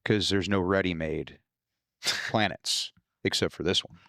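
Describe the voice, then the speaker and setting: A man's voice: sits low, unhurried, pushed and bright, no room sound, studio quality. An American man talking as a guest author in a casual podcast conversation, explaining things informally with loose, profane language. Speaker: guest author discussing own novels.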